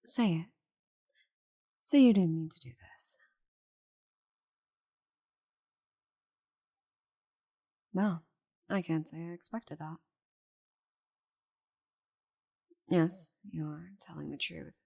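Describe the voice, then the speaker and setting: A woman voicing a proud, stubborn, bitter female dragon in a dramatic audio roleplay, with a breathy voice and haughty, sighing delivery. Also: deep voice, a little slow, mellow, full character voice. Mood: resigned, grudging. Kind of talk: scripted audio roleplay monologue.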